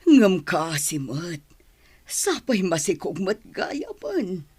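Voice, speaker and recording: A woman's voice: lowish voice, very animated, slow, a little mellow, slightly rough, full character voice. A woman with an Ilocano accent playing an exasperated, worried village mother in a radio comedy drama. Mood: exasperated, pleading.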